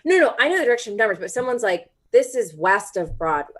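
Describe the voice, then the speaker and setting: Animated, high-voiced woman hosting. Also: fast talker. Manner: animated, exclaiming, self-mocking. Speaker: host of a cooking-show discussion stream. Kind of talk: casual comedic chat on a livestream.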